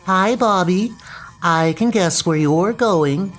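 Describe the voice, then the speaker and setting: A man voicing a scornful, teasing girl in a comic book dub, with a mocking drawn-out delivery. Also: sits high, fairly bright tone, voice pushed up.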